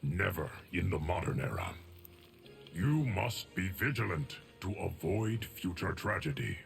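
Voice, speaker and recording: A man voicing a solemn, all-knowing, cryptic guardian of time in a video game, in a measured deep voice. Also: expressive, far from the mic, faint music behind, full character voice. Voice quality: deep, gravelly. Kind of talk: video game character dialogue.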